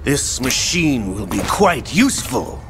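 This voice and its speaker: An actor playing a sly, theatrical older villain in a video game, raspy, with a smug and menacing drawl. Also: male voice, mid-range voice, sing-song, unhurried, over music. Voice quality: raspy, gravelly. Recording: noisy ambience behind.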